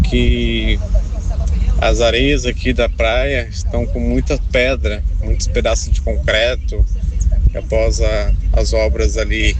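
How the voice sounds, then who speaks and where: A man's voice: on the low side, background noise. A man with a Brazilian accent leaving a hesitant voice message for a radio show from outdoors. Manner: hesitant, many restarts. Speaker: radio listener.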